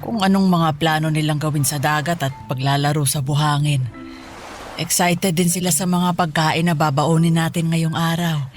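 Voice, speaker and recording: A woman playing a kindly older grandmother in a radio drama, speaking gently and with approval. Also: low voice, fairly even intonation, normal speed, soft background music, close to an everyday voice. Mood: fond, admiring.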